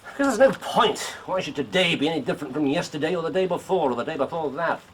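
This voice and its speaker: A man's voice: on the high side, animated, quick pace, noisy background, lightly acted. An actor playing a bored, moody, sardonic male detective in a radio drama, petulant and dryly sarcastic. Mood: sullen, petulant.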